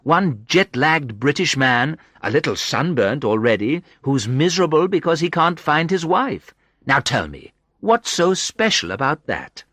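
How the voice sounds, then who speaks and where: A lively, confident woman from Brazil played by a male reader in a storybook, teasing and friendly. The pitch sits high and leaps about with playful emphasis, in a dry, close sound. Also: unhurried, no room sound, heavily acted. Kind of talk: audiobook character dialogue.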